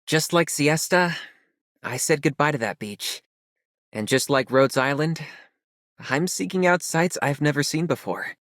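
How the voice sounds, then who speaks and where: An actor playing a sincere, wistful traveler in a scripted game monologue. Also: male voice, a little high, unhurried, fairly bright tone, dry recording, clean studio sound. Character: sincere, wistful male traveler seeking new sights.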